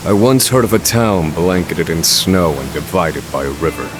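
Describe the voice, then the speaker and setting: An actor playing a grim, brilliant scholar in a fantasy video game, speaking in a measured, formal and erudite way. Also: male voice, deep voice, music in the background, natural voice. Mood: intent, assured. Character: grim, brilliant adult male scholar escaping prison. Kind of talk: dramatic video game voice acting.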